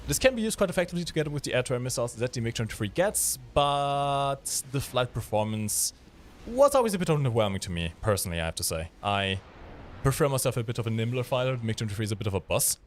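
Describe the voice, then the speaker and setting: A man's voice: medium pitch, sing-song, quick pace, a little hiss or hum. A man from Germany with a German accent, hosting a game livestream with casual, explanatory commentary and jokey chat.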